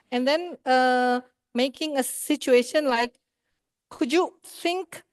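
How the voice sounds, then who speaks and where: A high-pitched, middle-aged woman speaks slowly on a seminar stage, hesitating and restarting as she answers. Her voice sits close and dry on a handheld mic. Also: dry recording. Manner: hesitant, many fillers and restarts. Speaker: seminar panelist. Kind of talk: casual panel answer, hesitant.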